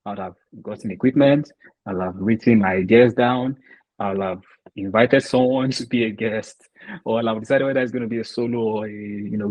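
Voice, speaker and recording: Low husky guy hosting a podcast, lots of ums, dry sound. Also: no echo, dull audio. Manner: reflective, hesitant with many fillers. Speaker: podcast host. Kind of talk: casual podcast interview.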